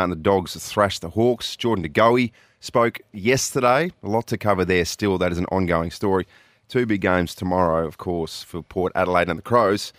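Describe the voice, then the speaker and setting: Australian male radio host, very low voice, dry studio sound, chatty and upbeat. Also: no room sound. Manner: chatty, laughs often, reads out phone and text numbers. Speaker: radio sports show host. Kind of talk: upbeat breakfast radio banter. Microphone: studio microphone.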